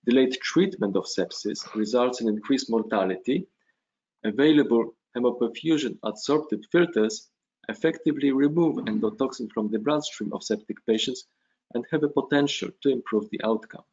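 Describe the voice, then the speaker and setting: A male physician delivers a measured academic lecture, at a middle pitch. The sound is dull and lo-fi, like a video call. He pauses now and then on small fillers. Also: darkish tone.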